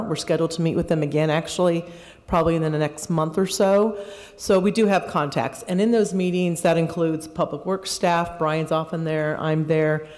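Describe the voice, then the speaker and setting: A woman's voice: low voice, a little mellow. A woman on a city recreation staff giving a matter-of-fact update at a public meeting. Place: community hall meeting room. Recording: long reverb of a meeting hall.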